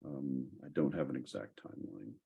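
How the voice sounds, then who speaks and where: Man, extremely low voice, husky texture, halting, thinking aloud, casual tech Q&A host. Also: phone-quality sound. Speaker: host of a software community call.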